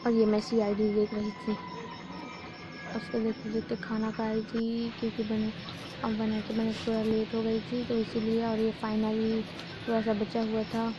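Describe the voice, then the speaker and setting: A young woman from Chhattisgarh, hoarse from a sore throat, chatting casually to her viewers in a home vlog. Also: fairly high pitch, noisy background. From Chhattisgarh, India. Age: young adult. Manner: chatty, keeps addressing viewers as friends. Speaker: vlogger. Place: village home during a festival.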